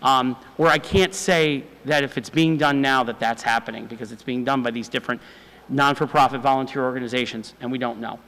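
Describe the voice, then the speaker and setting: A man with a loud voice, a city department official, explaining a proposal to the council in a repetitive, explanatory way. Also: mid-range voice, steady tone, brisk, big-room sound, low-quality recording. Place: council chamber.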